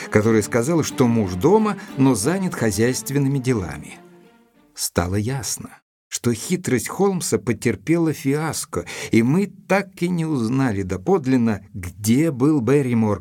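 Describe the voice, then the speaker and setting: An actor playing a thoughtful gentleman investigator, narrating a mystery in a measured, deliberate literary style. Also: medium pitch. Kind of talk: dramatized first-person literary narration.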